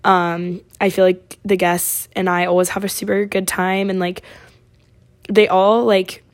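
A college-age woman from Oregon hosting her podcast, chatting casually with a raspy voice and lots of fillers. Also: medium pitch, little pitch movement, measured pace. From Oregon, USA. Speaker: podcast host. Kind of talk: casual chat.

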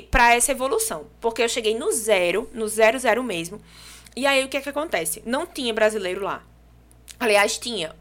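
A young adult woman with a Brazilian accent from João Pessoa, a podcast guest telling her story in casual chat, lively and animated. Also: a little high. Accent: Brazilian Portuguese, from João Pessoa.

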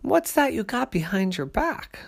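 A tiny girl bear cub squeals and swoops through her words, eager and polite, as a man puts her on. The voice leaps up and down and keeps a gravelly edge. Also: a little slow, exaggerated voice. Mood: excited. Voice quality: high, excitable. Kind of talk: bedtime storytelling.

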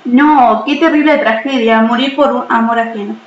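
A woman's voice: fairly high pitch, moderate pace, close to an everyday voice. A woman playing a radio newsreader in an amateur radio play. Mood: newsy. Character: female radio newsreader reporting a fatal accident. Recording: dull, echoey, faintly noisy.

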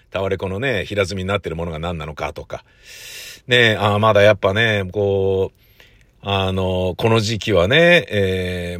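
A man's voice: on the low side, no echo, studio quality. A man who hosts radio, chatting casually on his own in a rambling, conversational way. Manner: rambling, conversational, with frequent sentence-ending "ne" tags. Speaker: radio show host and producer. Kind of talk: casual solo chat.

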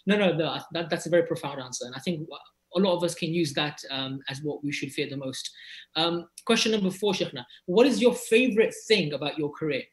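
A man's voice: a little high, brisk. A man hosting an interview, asking a list of questions with a playful, teasing touch. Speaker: interviewer, host.

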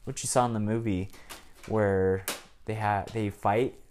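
A man's voice: lowish voice, steady tone, unhurried, darkish tone, slight background noise. A man in casual chat, retelling cartoon plots at length with many ums and restarts.

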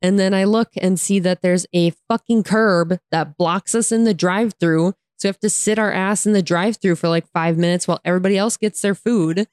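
A woman with an American accent and a hoarse, raspy voice, casually telling a story in slangy, sarcastic, exasperated style and mimicking dialogue. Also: lowish voice, steady tone, brisk, hardly any pauses, darkish tone, no room sound, clean studio sound. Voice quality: raspy, tired voice. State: hoarse from talking all day. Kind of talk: casual chat, animated storytelling.

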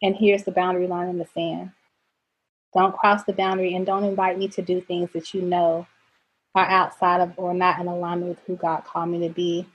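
A middle-aged woman, a coach and speaker, talking earnestly as a podcast guest about faith and boundaries, goofy and quick to laugh. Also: fairly low pitch, level tone, breathy edge, lo-fi sound. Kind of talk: faith-based personal talk.

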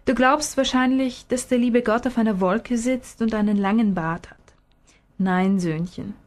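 Storybook father read by a woman, patient, gently probing, explaining things step by step. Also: a little high, measured pace, close to an everyday voice.

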